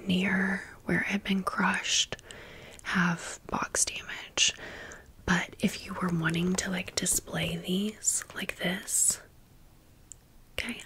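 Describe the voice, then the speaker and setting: Whispering American woman, slow ASMR storytime. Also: on the low side, vocal fry, a bit bright. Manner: sassy, rambling, emphatic asides. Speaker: ASMR video host.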